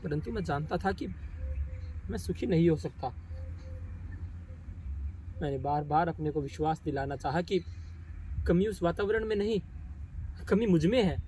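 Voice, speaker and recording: Male actor as tormented, self-doubting poet in a stage drama; high voice, solemn and emotional, pausing heavily; light birdsong noise. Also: dark tone, close to an everyday voice, low-quality recording. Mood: pained confession. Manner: solemn, halting, emotional delivery with dramatic pauses. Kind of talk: theatrical stage monologue in formal literary Hindi.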